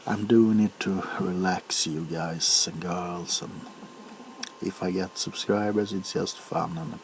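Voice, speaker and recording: A man rambling casually for an ASMR video, with a halting, unhurried, hesitant way of talking. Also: low-pitched, fairly even intonation, a little hiss or hum.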